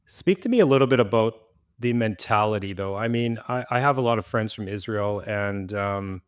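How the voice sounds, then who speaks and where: A man's voice: on the low side, moderate pace, smooth. A man hosting a podcast, asking probing questions in a casual way. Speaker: podcast host. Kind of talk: podcast interview.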